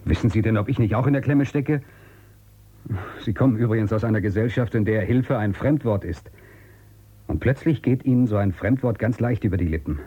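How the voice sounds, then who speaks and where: An actor playing a brusque, suspicious outcast in a radio drama, with a commanding, curt way of questioning. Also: male voice, on the low side, a little fast, warm tone, slightly rough, dull audio. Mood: wary. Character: brusque, suspicious male outcast hiding in abandoned future city. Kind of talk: German radio drama acting.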